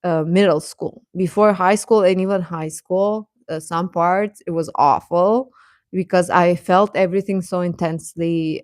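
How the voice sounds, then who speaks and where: A woman's voice: on the low side, dry recording, studio quality. A woman chatting casually on a livestream, giving earnest, encouraging advice and repeating phrases for emphasis in a reassuring way. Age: adult. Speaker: live streamer giving advice to teenage viewers.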